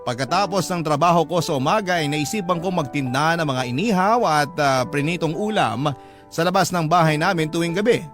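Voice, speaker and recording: A man's voice: a little high, a little fast. A man with a Filipino accent reading a first-person letter as dramatic, sentimental scripted narration. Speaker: radio storyteller reading a letter. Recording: music playing behind the narration.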